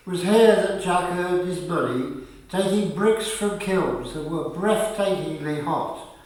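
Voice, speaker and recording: Slow male narrator in echoing space. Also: sits high. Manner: measured, deliberate storytelling. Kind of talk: scripted radio play narration. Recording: very echoey, distant from the mic.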